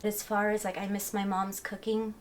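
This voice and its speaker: A woman speaking in a measured way, with frequent pauses, about an object from home. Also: medium pitch, flat delivery, a little hiss or hum. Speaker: interviewee. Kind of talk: reflective personal testimony.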